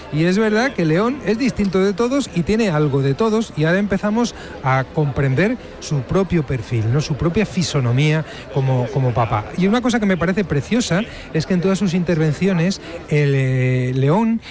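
A man giving reflective radio commentary, measured and emphatic, pausing to find words. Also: a little high.

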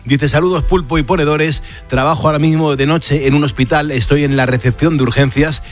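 A man's voice: a little high, steady tone, fairly quick, faint music behind. A man with an accent from Spain hosting a radio show, reading listener messages in an effusive, affectionate manner. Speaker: radio host.

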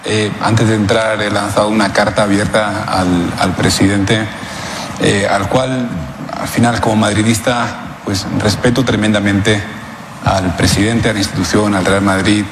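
A man answering questions in an interview clip, hesitant and halting, with many fillers. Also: lowish voice, level tone, noisy background. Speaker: interviewed guest, possible club presidential candidate. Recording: roomy clip with background ambience.

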